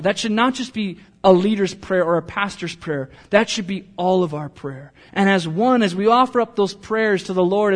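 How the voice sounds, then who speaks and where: A man preaching a sermon as pastor, earnest, building to emphatic peaks and then dropping to quiet, intimate lines. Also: high voice.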